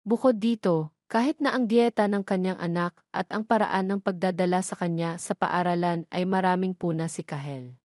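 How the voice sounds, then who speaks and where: A woman's voice: on the low side, moderate pace, a little mellow, no echo, clean studio sound. A woman reading scripted narration of a romance drama as a storyteller.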